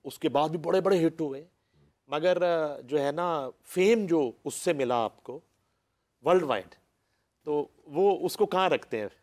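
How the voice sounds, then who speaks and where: A man's voice: a little high, a little slow, darkish tone. A man with a Pakistani Punjabi accent hosting a talk show, asking questions in casual conversation. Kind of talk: casual interview chat.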